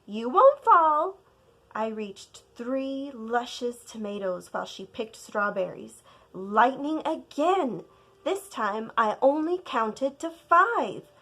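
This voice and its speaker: A woman narrating a children's storybook aloud like a teacher, deliberate and expressive, leaning on key words for drama. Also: a little high. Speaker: teacher reading a picture book aloud.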